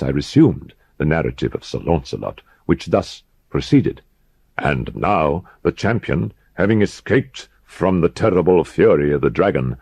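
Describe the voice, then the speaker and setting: Guy reading gothic horror, lowish voice, grave n deliberate, dramatic pauses, very dry close sound. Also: gravelly, mellow, slightly rough, no room sound. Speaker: audiobook narrator.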